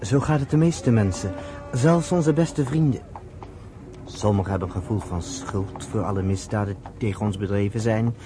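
A man's voice: on the low side, normal speed, over music, lightly acted. An actor in a Dutch radio play voicing a friendly, earnest man who explains things thoughtfully and patiently. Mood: reflective. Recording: noisy old radio play recording.

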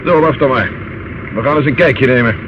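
An actor in a Dutch radio play, playing a commanding police inspector with a brisk, dryly probing manner. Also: male voice, mid-range voice, natural voice. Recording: dull, noisy old radio recording.

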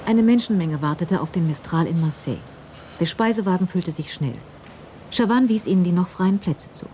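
A woman's voice: fairly low pitch, normal speed, dark tone, slight background noise. A woman narrating a radio crime drama from a script in the role of storyteller.

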